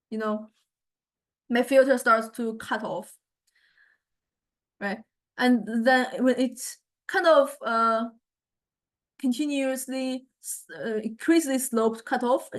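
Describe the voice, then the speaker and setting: A woman lecturer with a fairly high voice walks through a software demonstration. She talks informally and thinks aloud, often stopping and correcting herself as she explains each step. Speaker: music technology lecturer.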